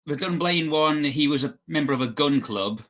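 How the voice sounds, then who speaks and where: A man's voice: on the high side, moderate pace, fairly bright tone. A British man on a podcast, explaining things in a casual, matter-of-fact way. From Britain. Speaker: podcast panelist.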